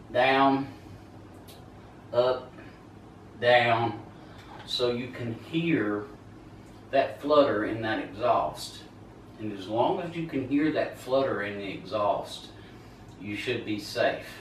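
A man's voice: mid-range voice, recorded from a distance, a little hiss or hum. Man raising his voice in a garage. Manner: loud over running chainsaw, talks while working. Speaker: small-engine repair instructor. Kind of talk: hands-on repair tutorial. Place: large garage with concrete floor. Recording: echoey garage, off-mic.

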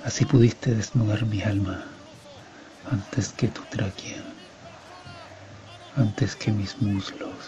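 A man's voice: medium pitch, dark tone, close to an everyday voice. A man with a Chilean accent playing a conflicted divorced father in a colloquial, confessional radio drama. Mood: hushed, tender. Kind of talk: radio drama monologue acting. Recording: slightly dull, lo-fi sound.

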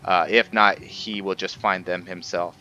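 Guy doing a lets play, slow halting explaining, lowish voice, bit of game noise. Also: steady tone, bright, forceful tone, highs cut off. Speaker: gaming video host. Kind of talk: casual gameplay commentary with roleplay explanation. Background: video game ambience.

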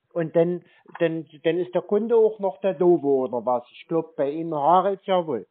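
A man on a phone call, arguing as a dissatisfied customer in a long-winded, insistent, scolding way. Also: a little high. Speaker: caller posing as an aggrieved customer. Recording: narrow, tinny phone-like sound. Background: phone call recording.

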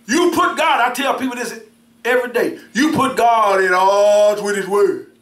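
A middle-aged minister preaching a sermon, with call-and-response, repeated words and swings between shouts and soft asides. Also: male voice, high voice, moderate pace. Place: church sanctuary, indoors, at a pulpit. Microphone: pulpit mic from a far camera. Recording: roomy church sound from far off.

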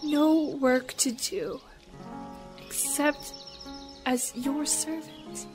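A woman's voice: high-pitched, steady tone, extremely slow, over music. A woman playing a devout missionary pleading in prayer in an audio drama. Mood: pleading, prayerful. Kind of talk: slow audio drama monologue.

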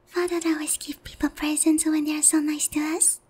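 Very high-pitched, naive harpy girl, acted by a woman. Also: flat delivery, brisk, a little mellow, lightly acted. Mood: grateful, hopeful. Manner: polite, hesitant, girlish, earnest. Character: naive, stubborn, sheltered young harpy noblewoman, airheaded and polite. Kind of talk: roleplay ASMR acting.

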